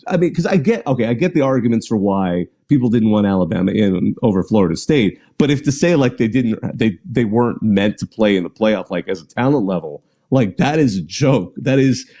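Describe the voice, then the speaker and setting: A man's voice: lowish voice, animated, fairly quick, hardly any pauses, warm tone. A man hosting a live call-in sports show, chatting casually and rambling with restarts and small laughs. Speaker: podcast host.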